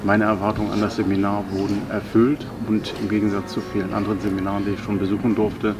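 A man in his thirties speaks formally and articulately about a seminar. His voice is low and even. Music plays underneath. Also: fast. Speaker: IT project manager. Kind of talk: interview testimonial. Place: indoor sports hall.

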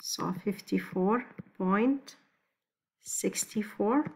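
A woman's voice: medium pitch, darkish tone, breathy edge, rough recording. A woman teaching physics, talking step by step through exam problems over a screen recording, with frequent restarts. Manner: slow, step-by-step explaining with restarts.

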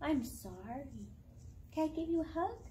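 A young woman doing an animated picture-book read-aloud for her toddler, playful, sing-song and encouraging, chatting to the child as she goes. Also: high-pitched, moderate pace, a little hiss or hum. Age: young adult. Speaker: mother reading storytime to her toddler. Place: outdoor backyard patio. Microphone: camera a few feet away.

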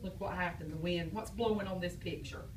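A woman's voice: fairly low pitch, brisk, background noise. A woman teacher, quizzing and praising a class of young children after reading aloud. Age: adult. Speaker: preschool teacher. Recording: echoey classroom from far off.

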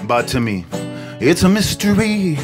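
An older man, a singer-songwriter, singing his own songs into a stand mic on a small cafe stage, with soft, halting spoken introductions between songs. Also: fairly high pitch, sing-song. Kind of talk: singing original songs with acoustic guitar. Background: his own acoustic guitar.

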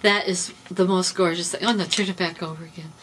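A woman's voice: fairly low pitch, fairly even intonation, a little fast, a bit bright, slight background noise. A middle-aged woman interviewing a guest in a small cluttered office, effusive and enthusiastic. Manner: effusive, enthusiastic, laughs often. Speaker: interviewer, extension director. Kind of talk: casual interview.

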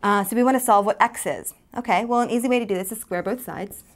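A woman's voice: medium pitch, fast. A woman in her thirties teaching chemistry at a whiteboard in a studio, explaining step by step with many fillers. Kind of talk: whiteboard lesson. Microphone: lapel mic.

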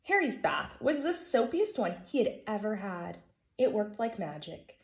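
An American woman in her thirties, a first grade teacher reading a children's storybook aloud in an expressive, emphatic way. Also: mid-range voice, unhurried, vocal fry. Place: living room at home, indoors. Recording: echoey room.

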